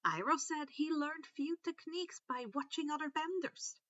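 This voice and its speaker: Female story narrator, highish and lively pitch, slightly muffled dry home-style recording. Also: moderate pace, no echo. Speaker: fan fiction narrator. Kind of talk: animated storybook-style read-aloud narration.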